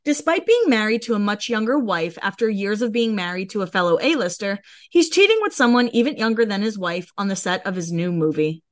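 A woman's voice: mid-range voice, expressive, fairly quick, hardly any pauses. A woman co-hosting a podcast, chatting and reading out celebrity blind items in a casual way. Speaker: podcast co-host. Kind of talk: casual celebrity gossip chat.

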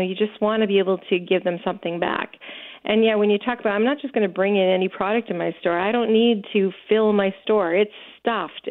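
A woman who owns a store, a guest on a talk show, speaking with enthusiasm and many fillers. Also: medium pitch. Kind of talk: casual interview chat. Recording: tinny phone-like sound.

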